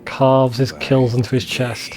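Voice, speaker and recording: A man's voice: medium pitch, level tone, a little slow, darkish tone. A man giving informal, sweary gaming commentary as a let's play host. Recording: faint game music behind voice. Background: game soundtrack.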